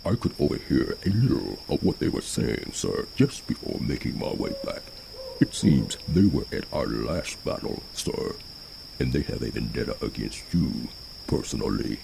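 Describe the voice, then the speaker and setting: A man's voice: expressive, moderate pace, heavily acted. An actor playing a dutiful crew officer reporting to his captain in an audio drama. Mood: grave report. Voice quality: deep and gravelly. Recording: noisy background.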